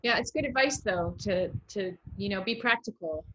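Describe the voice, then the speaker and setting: Bright-voiced American woman hosting. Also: mid-range voice, normal speed, rough recording. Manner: enthusiastic, encouraging. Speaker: interview host. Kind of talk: casual online interview chat. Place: online video call.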